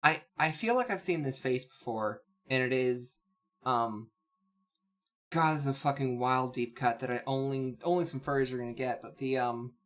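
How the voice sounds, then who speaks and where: A woman's voice: rumbling low, normal speed. A woman co-hosting a casual comic discussion in a blunt, mock-exasperated way, swearing freely. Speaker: co-host. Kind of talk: casual comic commentary chat.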